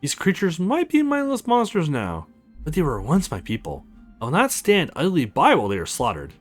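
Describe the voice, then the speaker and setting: A man plays an ancient vampire lord from a visual novel. He is courtly and wry, speaking in formal, measured phrases with very wide swings of pitch. Music plays behind him. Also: wildly swooping pitch. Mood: grave, defiant. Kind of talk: visual novel character voice read aloud.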